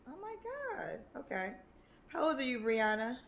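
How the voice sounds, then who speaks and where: A woman's voice: on the high side, expressive, normal speed, vocal fry, a bit bright, slight background noise. A woman from Connecticut hosting a dating show, interviewing a caller in a familiar way and calling her baby and honey. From Connecticut, USA.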